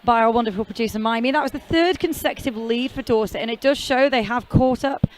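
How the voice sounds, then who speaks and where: A woman's voice: fairly high pitch, fast. Chatty woman doing roller derby commentary. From half Welsh, never lived in Wales. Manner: chatty, jokey, rambling asides. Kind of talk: casual live sports commentary.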